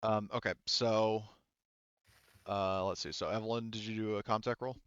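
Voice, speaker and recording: A man acts as game master for a tabletop session. His voice is clean and smooth, and he thinks aloud in stops and starts as he checks rules. The sound is close and dry, a little muffled. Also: fairly low pitch, fairly even intonation, moderate pace, no room sound. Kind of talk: casual tabletop game refereeing.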